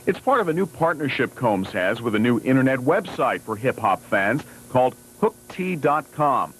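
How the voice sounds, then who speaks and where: A man's voice: medium pitch, very animated, moderate pace, fairly bright tone. A man reading a scripted television news report as a reporter's voice-over. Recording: slightly noisy old TV news tape.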